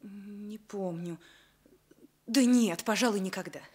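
An actress playing an anxious, hesitant widow giving halting testimony in a 1960s Soviet radio drama. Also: female voice, medium pitch, darkish tone, husky. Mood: hesitant recollection. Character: anxious, hesitant Sicilian widow questioned by police.

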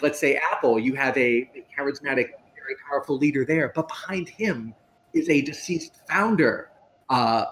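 A man giving conversational commentary as a panelist on a video call, in halting, piecemeal phrases. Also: mid-range voice, a bit bright, rough recording. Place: home room on a video call. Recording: faint ambient noise on video call.

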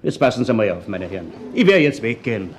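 An actor with an Austrian accent playing a pompous, defensive widower under suspicion in a radio crime drama. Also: male voice, on the low side, very animated, fast, slight background noise. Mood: indignant. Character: haughty, indignant widowed male citizen under suspicion.

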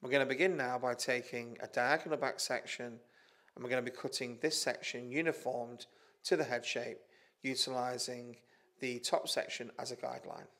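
A man with a British accent calmly narrating a step-by-step hairdressing tutorial. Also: medium pitch, moderate pace. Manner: calm, methodical instruction with small restarts. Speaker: hairdressing educator. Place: studio with a plain grey backdrop.

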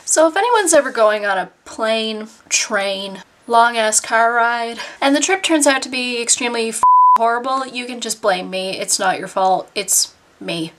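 A woman in her twenties with a Canadian accent, telling stories casually to camera in an animated, self-deprecating, sardonic manner. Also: a little high, a little slow, a little hiss or hum. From Nova Scotia, Canada. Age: 20s. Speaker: vlogger. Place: small bedroom, indoors.

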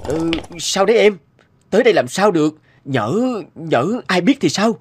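A man playing a hesitant, remorseful husband and tender father in an animated drama. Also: high voice, moderate pace, a little hiss or hum. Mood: hesitant, evasive. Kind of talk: animated cartoon drama acting. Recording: loud music behind the voice. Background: music.

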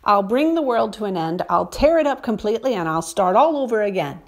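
A woman's voice: medium pitch, animated, a little fast, lightly acted. Stern fatherly God, as a woman reads him.

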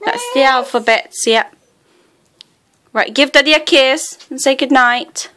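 Soft-spoken mother coaxing her toddler. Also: high voice, a little slow, a crowd in the background. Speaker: mother filming bedtime. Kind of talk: casual family chat. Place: dim child's bedroom.

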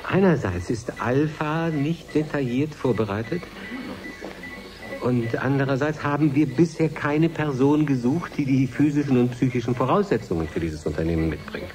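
An actor playing a grave professor in a radio drama, explaining in a precise, formal, deliberate way. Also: male voice, mid-range voice, animated, a little mellow, soft background music, close to an everyday voice. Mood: grave, explanatory. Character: grave male professor explaining a risky project. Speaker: scientist.

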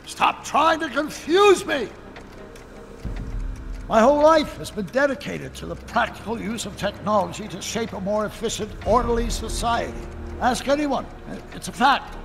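Stern elderly scientist-ruler, animated game. High, swooping delivery, formal and grandiose, over background music. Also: male voice, moderate pace, vocal fry, big-room sound. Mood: indignant, commanding. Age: older. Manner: pompous, formal, theatrical diction. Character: elderly, stern, autocratic scientist ruling an orderly town. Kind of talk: animated video game acting. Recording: music behind the voice.

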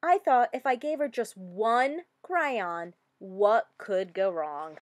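A woman reading a children's story aloud with expressive, dramatic emphasis. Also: medium pitch, slow pace, no room sound, studio quality. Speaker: storybook reader.

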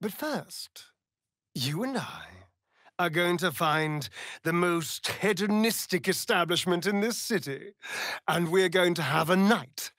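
An actor playing a sly, flamboyant, sardonic vampire rogue in a video game, with a British accent and an arch, camp, teasing drawl. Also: male voice, high-pitched, slow, a bit bright, no room sound, clean studio sound, exaggerated voice. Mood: coy, teasing. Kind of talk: theatrical video game character acting.

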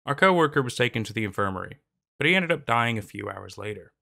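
Gravelly young man narrating a creepy tale. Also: mid-range voice, animated, fast, pushed and bright. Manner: rambling, hesitant with many fillers in commentary. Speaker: horror story narrator. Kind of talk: scripted story narration and casual commentary. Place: small room in front of green screen.

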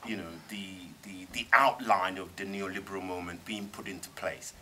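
A middle-aged man speaks on a panel in a cinema screening room. His tone is bright and ringing, and he argues with emphasis, circling back and restarting. A faint hum of the room sits behind him. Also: deep voice, fairly even intonation, normal speed. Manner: thoughtful, emphatic, many restarts. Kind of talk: panel discussion.

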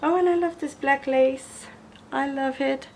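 Hoarse, slow-talking woman. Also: high voice, steady tone, a little mellow, slight background noise. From Netherlands. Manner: gushing, slow, admiring, repeats praise. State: hoarse from illness. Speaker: crafter showing gifts. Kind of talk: casual unboxing vlog. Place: indoors at a wooden table.

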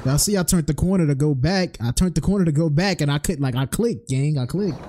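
Man, game streamer, mellow tone, casual slang, profane and blunt, faint background noise. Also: on the high side, brisk. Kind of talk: casual livestream chat over gameplay. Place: indoors at a computer, livestreaming.

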